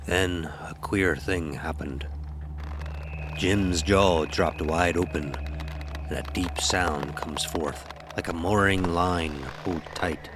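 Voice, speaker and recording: A man with a very low voice tells a horror tale in the character of an old-time sailor. His delivery is grave, deliberate and dramatic, full of archaic nautical turns of phrase, with ambient noise clearly behind him. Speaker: storyteller narrator. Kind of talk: scripted horror story narration in character.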